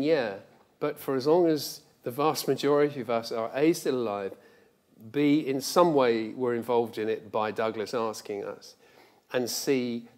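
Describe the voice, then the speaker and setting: A middle-aged man with a mid-pitched voice, talking as an interview guest on a lecture theatre stage. He is reflective and emphatic, speaking conversationally. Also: darkish tone. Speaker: guest, audio drama director.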